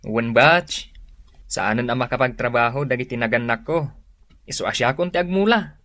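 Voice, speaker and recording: An actor with an Ilocano Filipino accent playing a friendly, obliging single farmer in a radio drama. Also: male voice, medium pitch, normal speed, sharp tone, no room sound, clean studio sound, close to an everyday voice. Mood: modest.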